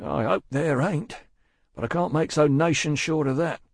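A man voicing a gruff, suspicious mail-coach guard in a storybook, challenging and threatening in rustic dialect. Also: fairly high pitch, normal speed, no room sound, clean studio sound, voice pushed up. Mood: grumbling. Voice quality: gruff, loud, roaring when calling out.

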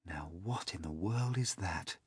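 Storybook boy hero, read by a man: curious, careful, urgent, frequently dropping toward a hush. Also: sits low, lively intonation, a little slow, slightly rough, no room sound, studio quality, distant mic, exaggerated voice. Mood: puzzled. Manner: urgent, often whispering.

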